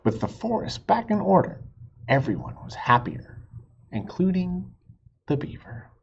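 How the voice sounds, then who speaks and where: A man reads a children's story aloud with big swings in pitch and an unhurried pace. He speaks simply to young listeners and puts questions to them. The recording is a little dull. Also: sing-song, gravelly, a little hiss or hum. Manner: asks the listeners questions, explains words simply. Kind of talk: expressive read-aloud with asides to young listeners.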